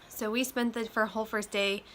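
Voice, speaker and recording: A woman's voice: on the high side, fairly bright tone. Nasal young woman chatting at home. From Iowa. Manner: chatty, many ums, loose and rambling. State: slightly nasal from a chest cold. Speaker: vlogger. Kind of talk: casual talk to camera. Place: home room with windows open. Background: faint road traffic and wind chimes.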